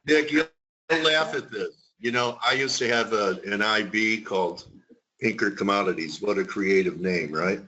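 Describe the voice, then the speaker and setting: A man with a fairly low voice hosts a casual trading webinar. He talks in a chatty, complimentary way. The audio is somewhat muffled, as on an online call. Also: normal speed, bright, forceful tone. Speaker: webinar host. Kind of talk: casual market webinar chat.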